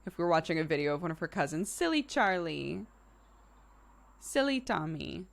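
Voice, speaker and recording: A woman's voice: fairly low pitch, sing-song, normal speed, gravelly. A woman in her early thirties hosting a livestream, chatting casually and informally with viewers in a conversational way. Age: early 30s. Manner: informal, conversational, laughs easily. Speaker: livestream host. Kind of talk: casual chat with live viewers.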